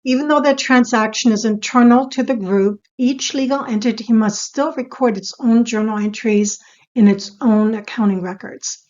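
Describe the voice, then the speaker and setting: Female instructor for explainer narration: raised pitch, orderly measured teaching, somewhat dull lo-fi sound. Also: steady tone, a little mellow. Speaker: accounting instructor.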